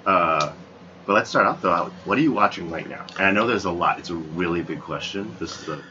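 Male, deep voice, easygoing agreeable co-host, muffled recording with clear hiss. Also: a little fast. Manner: relaxed, agreeable, frequent affirmations. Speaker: podcast co-host. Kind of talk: casual pop-culture chat.

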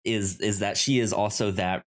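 A man co-hosting a casual film discussion, speaking haltingly and often repeating syllables and words in a stutter. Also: on the low side, steady tone. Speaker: podcast co-host.

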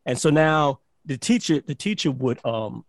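A man's voice: mid-range voice, normal speed, no room sound, studio quality. A man from Barbados, a podcast guest, telling stories in casual chat with lots of restarts and "like". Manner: animated storyteller, repeats words and says "like" often.

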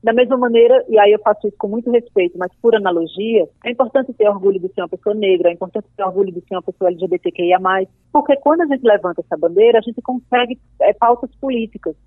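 A woman's voice: mid-range voice, fast talker, few pauses, no room sound. A young woman from Paraíba with a Northeastern Brazilian accent, speaking thoughtfully as an interview guest and building careful arguments. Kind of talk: reflective conversation. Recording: dry tinny phone-like call audio.

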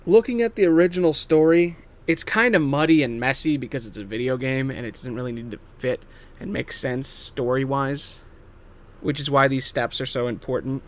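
A man giving a casual, semi-improvised story pitch as a host, emphatic and theatrical, swearing casually and dropping into offhand asides. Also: fairly even intonation, normal speed. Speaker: host pitching video game movie adaptations.

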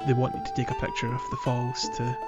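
A man's voice: mid-range voice, huge pitch swings, fast talker, highs cut off, loud music behind the voice. A man co-hosting a podcast, chatting casually about a game in a hesitant, meandering way. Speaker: podcast host. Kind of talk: casual game playthrough chat.

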